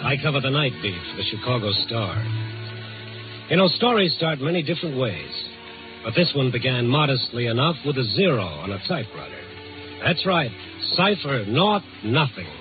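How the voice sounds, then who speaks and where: A man's voice: mid-range voice, sing-song, moderate pace, lightly acted. Actor as a wry hard-boiled American newspaper man. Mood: brooding, wry. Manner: hard-boiled, wisecracking narration. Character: wry, world-weary male newspaper night reporter. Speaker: actor in the lead role. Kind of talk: 1950s radio drama acting. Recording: tinny old radio recording with loud music.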